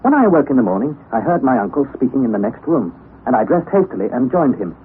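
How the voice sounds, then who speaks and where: Male radio drama narrator, old tinny broadcast. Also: medium pitch. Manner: measured storytelling, expressive. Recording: phone-like narrow 1960s radio broadcast with faint hiss.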